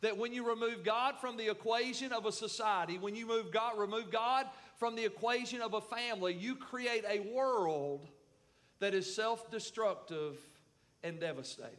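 A man's voice: high-pitched, normal speed, a bit bright. A middle-aged man preaching a sermon as pastor, emphatic, with repetition and calls for the congregation to respond. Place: church sanctuary.

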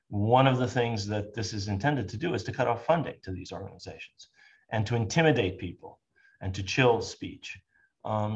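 An older man moderates an online panel, speaking in a measured way with frequent ums. His voice sits low. The audio is dull and lo-fi, like a video call. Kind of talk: webinar discussion.

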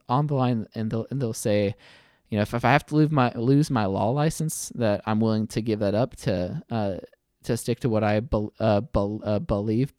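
Halting man, close dry recording. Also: lowish voice, a little mellow, no room sound. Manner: halting, frequently stammering and repeating words. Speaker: interview guest, attorney. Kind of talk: thoughtful interview answers.

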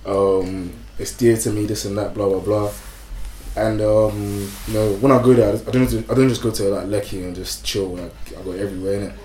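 Mellow-voiced man hosting a podcast. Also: on the low side, steady tone, normal speed, a little hiss or hum. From Nigeria. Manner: laid-back, slangy, hesitant with fillers. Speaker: podcast host. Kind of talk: casual chat. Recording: echoey room with faint music.

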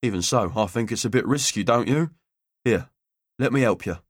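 A man voicing a pushy, over-helpful gentleman with a polite, insistent manner in an audiobook. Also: medium pitch, normal speed, slightly rough, dry recording, studio quality, close to an everyday voice. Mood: officious. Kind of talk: audiobook character voice.

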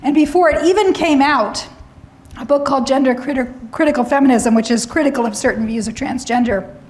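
Woman lecturer clearing her throat. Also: high-pitched, brisk, darkish tone. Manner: frequent throat clearing, wry asides. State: slightly hoarse, clearing throat. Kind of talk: lecture on academic freedom.

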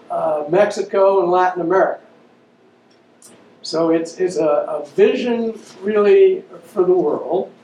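A man giving an unscripted public lecture, pausing often with fillers and restarting his sentences. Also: high voice, darkish tone. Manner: halting, many fillers and restarts. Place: lecture room. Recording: dull, roomy, distant mic with faint noise.

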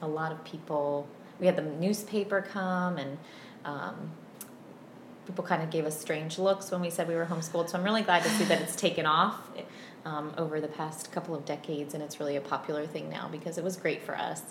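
A woman in her thirties from Virginia, chatting as a podcast guest in a friendly, unhurried way with many ums. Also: deep voice, steady tone. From Virginia, USA. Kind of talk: casual interview chat. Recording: roomy echo, faint background hum.

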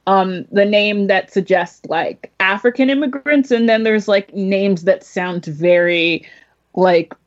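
A woman's voice: medium pitch, dull audio. A young woman, a podcast guest, talking casually about films in a rambling way full of fillers and laughs. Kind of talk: casual film discussion.

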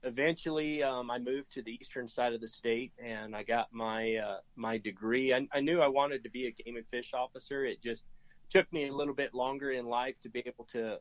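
A middle-aged American man from New Mexico talking casually as an interview guest, in a folksy, halting way. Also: medium pitch, steady tone, no echo. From New Mexico, United States. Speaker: podcast guest, game and fish officer. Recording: dry, dull lo-fi sound.

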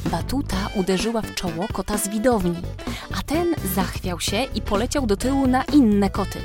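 A woman narrating a scripted children's story in a measured, expressive way. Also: mid-range voice. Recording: music behind narration.

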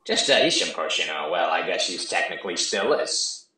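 A man's voice: deep voice, lively intonation, normal speed, bright, forceful tone, reverberant, rough recording. A man voicing a crude, smug male caller who heckles and insults the host with foul language. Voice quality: foul-mouthed, taunting.

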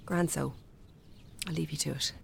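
A woman's voice: deep voice, steady tone, normal speed, gravelly, breathy edge, full character voice. A woman playing a polite, sympathetic Irish mourner in a naturalistic radio drama. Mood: sympathetic, courteous.

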